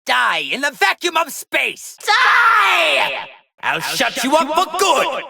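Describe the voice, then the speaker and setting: An actor voicing a cruel, mockingly polite alien galactic tyrant in a theatrical video game style, with a haughty, exclamatory delivery. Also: male voice, extremely high pitch, sing-song, slow, gravelly, sharp tone, raised voice, heavily acted. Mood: menacing shouting.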